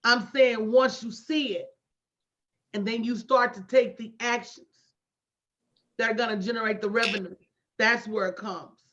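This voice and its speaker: High-voiced woman, emphatic motivational coach. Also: fairly even intonation, measured pace, pauses often, a bit bright. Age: late 40s. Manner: emphatic, preacher-like repetition with direct address and folksy slang. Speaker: motivational business coach hosting a livestream. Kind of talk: motivational coaching talk to a live online audience. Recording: dull lo-fi livestream audio.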